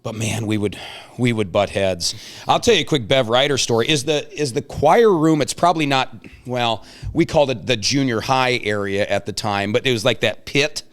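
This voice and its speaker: A man's voice: medium pitch, moderate pace. Casual middle-aged man hosting a chat. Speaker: show host. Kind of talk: casual chat, interviewing and telling anecdotes.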